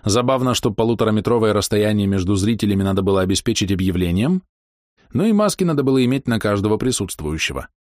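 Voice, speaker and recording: A man with a fairly low voice tells his diary as a touring musician. His delivery is wry and full of slang. The recording is dry, with hardly any room in it. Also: expressive, fast, a little mellow, no echo. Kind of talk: first-person diary narration.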